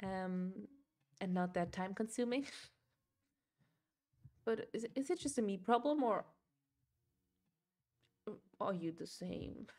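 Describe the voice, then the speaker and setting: A young woman talks loosely through a crafting livestream at an ordinary pitch. She rambles and scatters "like" and "um" through her sentences, laughing often between thoughts. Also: slow, pauses often, darkish tone. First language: German. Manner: chatty, rambling, filler-heavy, laughs often. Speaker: livestream host. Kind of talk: casual chat while crocheting.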